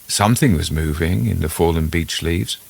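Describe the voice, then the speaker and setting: A man's voice: deep voice, normal speed, gravelly, breathy edge, full character voice. A man voicing a curious, brave male visitor in a ghost story, polite and earnest with some hesitancy. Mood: hesitant.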